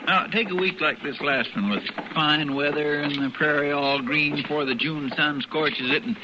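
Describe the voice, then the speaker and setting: An actor playing a folksy, good-natured, easily put-out marshal's sidekick with a rural American drawl in a 1950s radio western. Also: male voice, fairly high pitch, moderate pace, lightly acted. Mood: contented. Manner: folksy, plaintive. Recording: muffled, noisy vintage radio recording.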